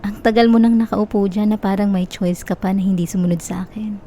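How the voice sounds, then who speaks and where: A young woman with a Filipino accent playing a possessive, manipulative, lovesick stalker in an intimate ASMR roleplay, softly coaxing and teasing with quiet menace. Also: mid-range voice, level tone, a little fast, slight background noise, close to an everyday voice. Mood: coaxing, smug. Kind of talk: Tagalog-style ASMR roleplay, intimate close address.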